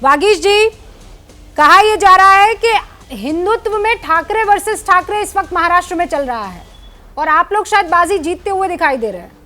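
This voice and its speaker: Female TV debate moderator, very high forceful voice, assertive, quick to challenge and cut in. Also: animated. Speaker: TV debate host. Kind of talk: live news debate moderation.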